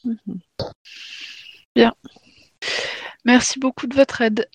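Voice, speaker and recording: A woman's voice: on the high side, steady tone, takes it slowly, creaky, slightly rough, poor audio. A woman playing a tabletop roleplaying game who speaks in character, politely and matter-of-factly. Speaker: player.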